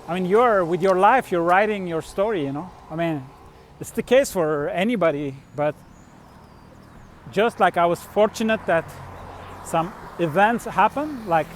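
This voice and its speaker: High-pitched man telling stories haltingly. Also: slight background noise. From born in Turkey, grew up in Switzerland. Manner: halting, rambling delivery with frequent hedging and trailing thoughts. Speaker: vlogger, musician and traveler. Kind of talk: casual unscripted personal storytelling.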